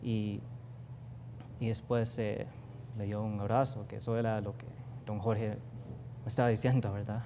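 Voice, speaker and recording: A man's voice: lowish voice, flat delivery, moderate pace, pauses often, noisy background. A young man with a Costa Rican accent, a guest artist speaking earnestly and reflectively in a TV studio interview, with frequent fillers. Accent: Costa Rican Spanish. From Costa Rica. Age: young adult.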